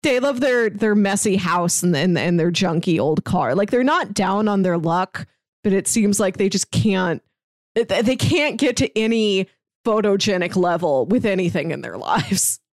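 A woman's voice: mid-range voice, animated, moderate pace, no echo, studio quality. A woman co-hosting a podcast, casually discussing a film in a conversational, easily amused way. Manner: conversational, often laughs while talking. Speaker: podcast co-host.

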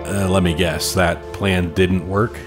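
A man's voice: deep voice, little pitch movement, a little slow, music in the background. A man acting in an audio drama as a probing, dry questioner hearing a story. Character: probing, dry male listener asking questions.

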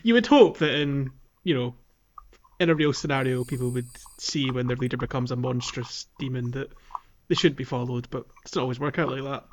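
A man's voice: medium pitch, brisk, slight background noise, low-quality recording. A man co-hosting a podcast, chatting casually about a video game. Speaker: podcast host.

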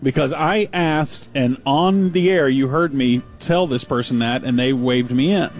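A man on a radio show telling an animated story and laughing at himself. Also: mid-range voice, measured pace, faint music behind. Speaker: radio host.

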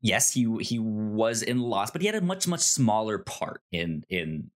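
A man co-hosting a podcast, chatting casually about television in a halting way, often repeating words and stammering over them. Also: fairly low pitch, expressive. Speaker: podcast co-host. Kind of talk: casual conversational TV show discussion.

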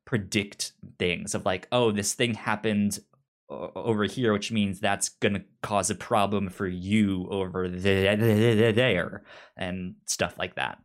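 A man who stutters on syllables and sounds, talking casually and haltingly as a podcast co-host explaining pop culture. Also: on the low side, fairly even intonation.

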